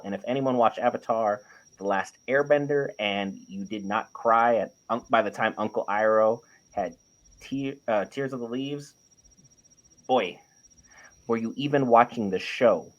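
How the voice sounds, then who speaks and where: A man's voice: mid-range voice, unhurried, muffled recording. A man co-hosting a panel, giving emphatic, opinionated takes in a casual debate and building his points in lists. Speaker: panel show co-host. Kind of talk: casual opinionated debate about animated series.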